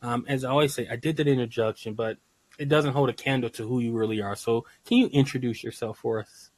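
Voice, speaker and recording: Mid-pitched male podcast host, dry sound. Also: fairly even intonation, fast, darkish tone, no echo. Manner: reads intro smoothly, then stumbles and repeats words. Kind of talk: scripted intro then casual interview.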